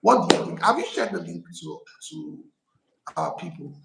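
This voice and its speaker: A man's voice: high voice, moderate pace, creaky, echoey room, poor audio. A man hosting a casual live-stream chat, with a playful, hyping manner. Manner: animated, playful hype.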